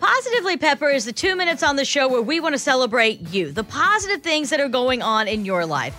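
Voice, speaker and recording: A woman's voice: sits high, very animated, fast, pushed and bright, soft background music. A woman hosting a morning radio show, bubbly and enthusiastic as she chats with callers. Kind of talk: upbeat morning radio chat.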